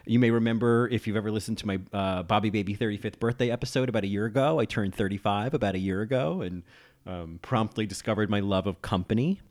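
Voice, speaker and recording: A man's voice: lowish voice, fast, darkish tone. A man in his thirties hosting a solo podcast, chatting in a rambling way with lots of ums and restarts. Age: 30s. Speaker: podcast host. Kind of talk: casual solo podcast chat.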